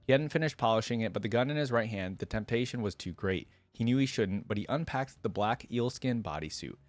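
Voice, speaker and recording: A man's voice: mid-range voice, animated, brisk, no echo. A man hosting a reaction video, informal in manner, moving between reading a story aloud and casual commentary.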